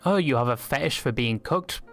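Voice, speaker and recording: A man narrates a scripted comedic video essay in a mid-pitched voice. His delivery is sardonic and crude, and music plays faintly behind him. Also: expressive, fairly quick. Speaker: narrator of an anime commentary video.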